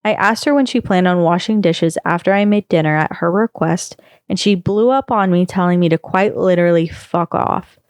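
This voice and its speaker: A woman reading a long first-person post aloud on a podcast, in a steady storytelling manner. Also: on the low side, normal speed, clean tone, no room sound, studio quality.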